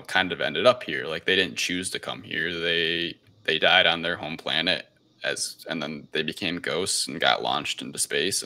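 A man's voice: very deep voice, sharp tone. A man hosting a podcast, recapping a film in a casual, hesitant, loosely rambling way. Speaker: podcast host.

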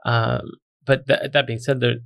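Halting Canadian man, gravelly voice. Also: mid-range voice, level tone, fairly bright tone. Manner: hesitant, many ums and restarts. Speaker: interviewer. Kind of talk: casual interview.

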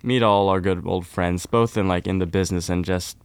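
A young man from Norway, a folk musician, chatting casually and warmly in an interview, with lots of "kind of" and "like". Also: deep voice, a little fast, smooth, dry recording. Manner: relaxed, friendly, uses fillers like "kind of, like".

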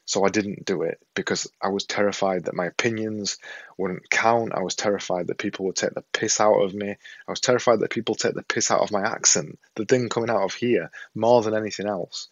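A man's voice: on the low side, steady tone, brisk, dry recording, lo-fi sound. A man with a Yorkshire accent, talking to camera at home in a casual, earnest, self-deprecating way, often repeating himself for emphasis. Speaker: vlogger and podcaster. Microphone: desktop setup with a camera and a monitor.

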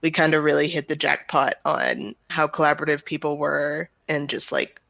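A woman talks in a conversational interview style, laying out her explanations step by step. Her voice has a marked gravelly fry. The recording is dry and a little dull in the top end. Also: sits low, little pitch movement, normal speed, a bit bright, no echo. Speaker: audio drama writer and director, guest. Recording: slightly dull, band-limited close recording.

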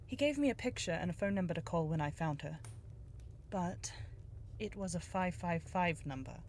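An actress playing a determined, businesslike younger private detective in a noir audio drama, cool, persuasive and pointed. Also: female voice, on the low side, expressive, moderate pace, a little mellow, a little hiss or hum. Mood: serious.

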